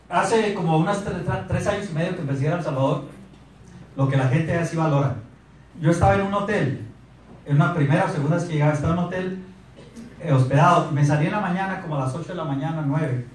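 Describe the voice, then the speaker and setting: A man in his thirties with a Mexican accent, giving a lively motivational sales talk on a handheld mic and asking the audience questions. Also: a little high, fast, pauses often, far from the mic, slight background noise. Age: 30s. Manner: animated, asks the audience questions. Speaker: sales speaker. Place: meeting room in front of a projector screen. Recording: echoey room recorded from afar.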